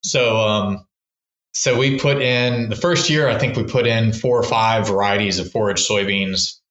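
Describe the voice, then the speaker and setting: A man's voice: on the low side, steady tone, measured pace, a bit bright, roomy sound, dull audio. A man from Texas, a wildlife researcher who is a podcast guest, explaining his work in a casual, rambling way with many restarts and fillers. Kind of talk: casual explanatory interview talk.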